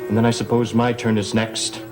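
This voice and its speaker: A man's voice: on the low side, flat delivery, a little fast, music in the background, natural voice. Sardonic, taunting young stepson, acted by a man. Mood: accusing. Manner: sarcastic, taunting. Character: sardonic, accusing young stepson of a rich family. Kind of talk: 1960s gothic soap opera acting.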